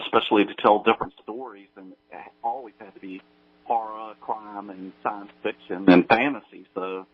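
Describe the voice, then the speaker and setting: A man giving casual, modest, halting answers as a radio interview guest, on a line with fluctuating volume. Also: lowish voice, highs cut off. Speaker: guest, audio drama creator.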